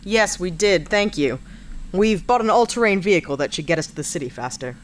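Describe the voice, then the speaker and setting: Wry female space detective , played by a woman, low and animated, sarcastic, for sci-fi audio drama. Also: fairly quick, slight background noise, lightly acted. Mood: businesslike. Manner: dry, sarcastic, matter-of-fact.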